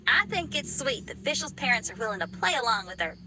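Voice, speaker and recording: A woman playing a sweet, easygoing young woman in an anime game, gentle and friendly. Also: fairly high pitch, big pitch swings, fairly quick, over music, low-quality recording. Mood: gently amused. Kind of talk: anime game character acting.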